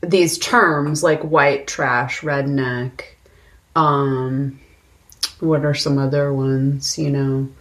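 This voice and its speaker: A woman's voice: extremely low pitch, fairly even intonation, extremely slow. A woman co-hosting a film podcast in casual conversation, reflective and often searching for her words. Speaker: podcast co-host discussing films.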